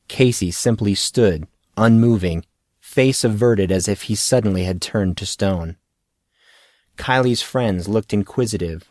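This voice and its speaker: A man reading a fantasy audiobook in a measured, dramatic storytelling manner. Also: lowish voice, no room sound, clean studio sound. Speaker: audiobook narrator. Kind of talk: scripted fantasy story narration.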